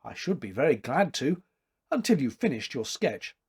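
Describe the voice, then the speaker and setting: A man voicing a composed, polite gentleman suitor with a formal, resolutely cheerful manner in a story reading. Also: mid-range voice, big pitch swings, moderate pace, slightly rough, exaggerated voice. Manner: formal, courteous.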